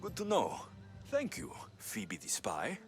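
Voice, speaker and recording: An actor voicing a confident, protective mercenary in a video game, firm and direct. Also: male voice, fairly high pitch, wildly swooping pitch, takes it slowly, full character voice. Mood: curious, wry. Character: confident Greek mercenary man, protective, blunt, calm. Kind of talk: video game cutscene acting. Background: background music.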